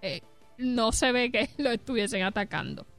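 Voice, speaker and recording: A woman's voice: fairly high pitch, expressive, moderate pace, gravelly, fairly bright tone, soft background music. A Puerto Rican woman co-hosting a radio show, reading quotes aloud and laughing as she talks. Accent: Puerto Rican Spanish. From Puerto Rico. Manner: reads quotes aloud, laughs while talking. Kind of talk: casual radio banter.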